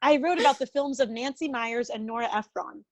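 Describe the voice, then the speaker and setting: A woman's voice: on the high side, brisk, natural voice. A woman acting an earnest, eager thirty-year-old psychologist in a comedy table read, polite in manner.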